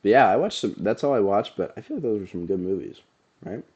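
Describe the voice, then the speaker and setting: A man's voice: fairly low pitch, big pitch swings, fast, darkish tone, lo-fi sound. A young adult man co-hosting a podcast, chatting casually about movies, sounding nasal from allergies and rambling in a laid-back way. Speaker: podcast co-host.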